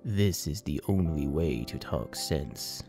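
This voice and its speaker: A man's voice: rumbling low, lively intonation, vocal fry, over music, voice pushed down, heavily acted. A man voicing a stern, solemn, patriotic cartoon cat hero in an absurd storybook reading. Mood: grave regret. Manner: slow, solemn, melodramatic delivery. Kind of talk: absurd fan fiction audiobook reading.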